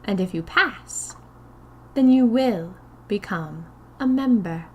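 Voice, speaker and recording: A woman's voice: medium pitch, animated, slow pace, a little mellow, slightly rough, exaggerated voice. A woman voicing a small, stern, schoolmistress-like leader of a sinister magical society in audio fiction, speaking in a commanding, formal, ceremonious way. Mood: imperious, solemn.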